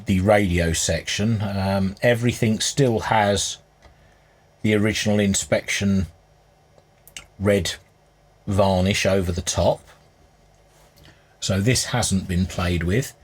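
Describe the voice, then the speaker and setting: A man with a husky voice giving casual, unscripted hands-on repair commentary, musing aloud as he works. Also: lowish voice. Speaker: hobbyist restorer presenting his repair.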